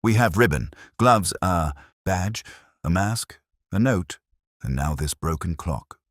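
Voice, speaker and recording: An earnest police sergeant , as a male storyteller plays him, talks in a deep voice with plenty of movement in it. He sounds exasperated and emphatic, in the manner of a detective audiobook. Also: animated, slow pace, no echo, clean studio sound, full character voice. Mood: frustrated.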